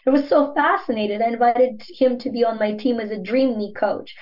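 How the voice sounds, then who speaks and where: A woman from Ukraine, a native Ukrainian speaker, talking as a guest in an interview in an earnest, emphatic way, slowing down to stress key points. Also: a little high. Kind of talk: interview conversation. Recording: dull lo-fi audio.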